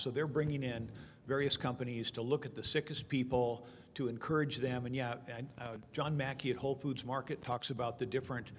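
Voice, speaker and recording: A man's voice: medium pitch, slight background noise. A man speaking informally on a conference panel, explaining with anecdotes and examples in a reverberant hall. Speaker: panel speaker answering audience questions. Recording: long hall reverb over conference sound system.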